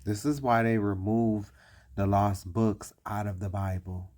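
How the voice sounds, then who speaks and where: Slow, dark-voiced man explaining. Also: on the low side, fairly even intonation. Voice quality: deliberate, repeats points. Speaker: commentator. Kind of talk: explanatory commentary over images.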